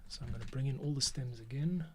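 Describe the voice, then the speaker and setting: A man's voice: medium pitch, fast, background noise. A man talking casually to himself while he edits a podcast, often dropping to a half-whisper. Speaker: podcast editor. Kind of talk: casual screen-recording commentary while editing. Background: music playing and keyboard typing.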